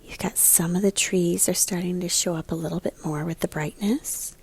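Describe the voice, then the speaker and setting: A woman art teacher leading a picture book lesson for young children in a guiding way, with a breathy, husky voice. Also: on the low side, steady tone, moderate pace. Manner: guiding, prompts listeners with questions.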